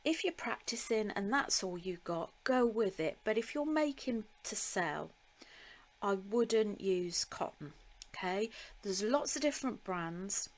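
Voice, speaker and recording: This is a woman teaching a craft on a live stream, talking in an unhurried, friendly way. Her pitch sits in a middle range, and she often pauses with an um as she works. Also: lively intonation. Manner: chatty and slow, with laughs and ums, talking to viewers by name. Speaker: beading tutor.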